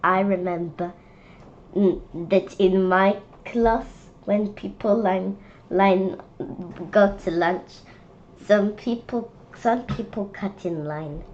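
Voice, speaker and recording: A young, school-age female reader presenting a picture book read-aloud with chatty, expressive commentary. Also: medium pitch, takes it slowly, dull audio. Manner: expressive, calls out quoted lines loudly.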